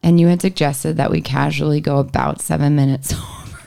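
A radio host speaks in a mellow, dark tone with a gravelly rasp. The talk is laid-back and rambling, and sentences often trail off before they end. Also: mid-range voice, fairly even intonation, brisk. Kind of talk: casual radio chat.

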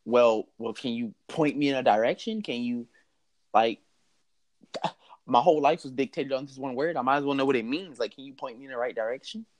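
A man's voice: medium pitch, normal speed, a little mellow, lightly acted. A man telling a story voices a naive thirty-year-old man with an inner child, blurting and repeating himself. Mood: pleading. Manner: pleading, repetitive, blurting.

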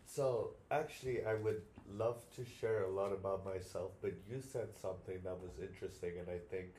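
A man's voice: sits low, fairly even intonation, normal speed, warm tone, slight background noise. A man chatting as a podcast guest, laid-back and casually swearing.

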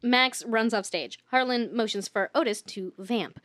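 A woman's voice: fairly high pitch, unhurried, fairly bright tone. A woman hosting and narrating a casual comedy show in a playful, giggly manner, moving between chat and reading stage directions. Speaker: host and narrator.

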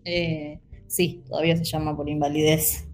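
Argentine woman, rioplatense accent, earnest, animated, faint music behind. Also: deep voice, normal speed. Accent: Rioplatense Spanish. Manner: earnest, animated, many fillers. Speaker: panelist. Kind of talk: casual discussion.

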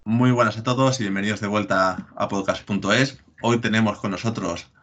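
Male host, lower voice, easygoing and friendly interviewer. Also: steady tone, fairly quick, a bit bright. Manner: friendly, laughs often. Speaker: podcast host. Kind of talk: podcast interview hosting.

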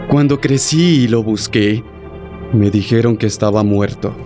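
Cold military avenger male actor, slightly low voice, commanding and deliberate, radio play with prominent music. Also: mellow, close to an everyday voice. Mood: bitter, vengeful. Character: vengeful, cold army colonel, short and paunchy. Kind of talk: audio drama acting.

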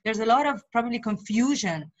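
A woman's voice: fairly high pitch, lively intonation, brisk, lo-fi sound. A woman hosting an interview, asking questions in a conversational way, hesitant and full of fillers. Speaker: host.

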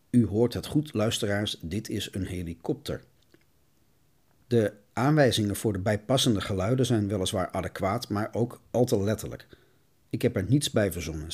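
A man's voice: fairly low pitch, darkish tone, breathy edge. A man delivering a scripted solo monologue as a podcast host.

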